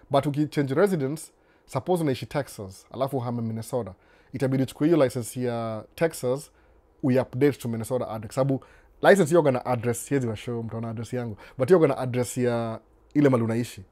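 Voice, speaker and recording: Man, mellow warm tone, laid-back live-stream host, answers viewer questions, mixes Swahili and English. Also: medium pitch. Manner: relaxed, unhurried, switches between Swahili and English mid-sentence; reads viewer comments aloud and responds to them. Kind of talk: casual live-stream chat.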